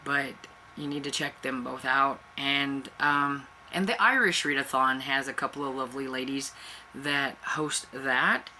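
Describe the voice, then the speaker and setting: A woman hosting a book channel chats loosely to camera, circling back on herself with plenty of fillers. She has a cold, so she sounds stuffy-nosed and a touch raspy. Her tone stays bright and sharp, with a faint hiss of noise behind her. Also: rumbling low, a little slow, poor audio. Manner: rambling and chatty, with many fillers and self-restarts. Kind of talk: casual chat to camera.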